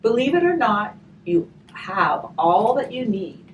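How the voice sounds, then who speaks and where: Slow-paced woman reading aloud, distant mic. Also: medium pitch. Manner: slow, expressive reading with chatty questions to the audience. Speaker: hospice volunteer reading a picture book to children. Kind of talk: read-aloud of a picture book with gentle asides to listeners. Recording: distant mic, faint background noise.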